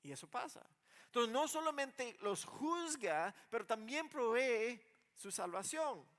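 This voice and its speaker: High-pitched male preacher, emphatic and halting. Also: sing-song. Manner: emphatic, swings between hushed reflection and insistent delivery. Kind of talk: expository sermon, explaining scripture in plain conversational words.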